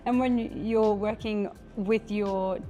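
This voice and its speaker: A woman's voice: mid-range voice, fairly even intonation, slow, warm tone, dull audio, soft background music. A woman interviewing a musician in a friendly, chatty way. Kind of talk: casual interview.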